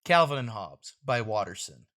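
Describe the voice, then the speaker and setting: A man's voice: medium pitch, animated, moderate pace, pushed and bright. A man presenting a children's comic read-aloud, adding casual asides as he goes.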